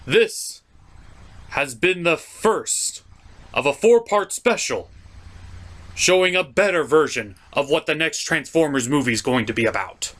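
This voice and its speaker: A man's voice: a little high, animated, a little slow, a bit bright. A man hosting a cartoon review show, delivering scripted comedic narration in a theatrical, jokey, emphatic way.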